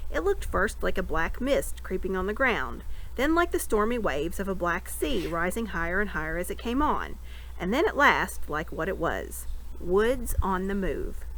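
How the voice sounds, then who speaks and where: Animated woman reading a storybook aloud. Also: mid-range voice. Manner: expressive, dramatic storytelling. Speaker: reader. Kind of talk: reading a children's fantasy novel aloud. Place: outdoors in a front yard. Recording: noisy outdoor amateur webcam recording.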